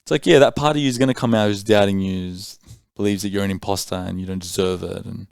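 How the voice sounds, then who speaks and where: A podcast host in his thirties talks bluntly and with emphasis, swearing as he goes. His voice sits low and the recording is dry and close. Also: steady tone, quick pace, gravelly, darkish tone, clean tone, no echo. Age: 30s. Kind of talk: candid conversation.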